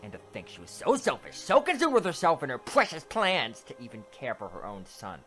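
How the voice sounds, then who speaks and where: Casting: woman playing young male cartoon pony, low register, hugely swinging delivery, guilt-ridden and bitter, music underneath. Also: huge pitch swings, brisk, fairly bright tone, exaggerated voice. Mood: bitter, hurt. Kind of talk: animated fan drama acting.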